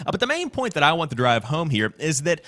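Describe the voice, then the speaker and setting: Male gaming news presenter: slightly high, very animated voice, emphatic and polished delivery. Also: fast talker. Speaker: host of a gaming news show. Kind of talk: upbeat scripted news show presenting with commentary.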